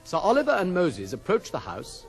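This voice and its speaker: A man's voice: high voice, huge pitch swings, normal speed, soft background music, heavily acted. A man narrating a stage play as a scripted storyteller.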